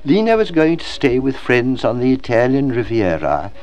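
Male literary narrator, mid-pitched, animated and expressive delivery, measured dramatic phrasing. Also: faint music behind. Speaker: audiobook reader. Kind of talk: scripted literary narration, expressive storybook reading.

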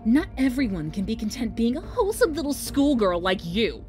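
Haughty sharp-tongued young woman. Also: fairly high pitch, big pitch swings, moderate pace. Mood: scornful. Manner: sarcastic, cutting. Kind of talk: audio drama acting. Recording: faint music behind.

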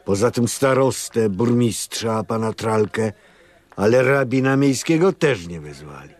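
Slow, deep-voiced gruff old priest. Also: male voice, lively intonation, a little mellow, no room sound. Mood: urgent, insistent. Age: older. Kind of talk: radio drama acting.

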